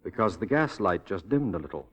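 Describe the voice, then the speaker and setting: An actor playing an earnest, insistent detective in 1940s radio drama style, with a clipped, commanding, deliberate delivery. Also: male voice, deep voice, animated. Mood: probing, intent. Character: earnest, insistent male detective, urgent and protective.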